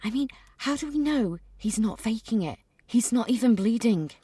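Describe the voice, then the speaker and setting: A woman's voice: a little high, expressive, moderate pace, mellow, breathy edge. A woman playing a mean, self-righteous schoolgirl bully in an audio drama, with a scornful, mocking manner. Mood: indignant.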